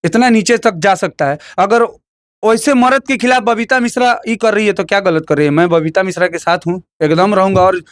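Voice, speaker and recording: A man's voice: high-pitched, fairly quick, no room sound. A man with a Bhojpuri accent giving heated opinions in an interview, emphatic and combative, repeating phrases and firing rhetorical questions.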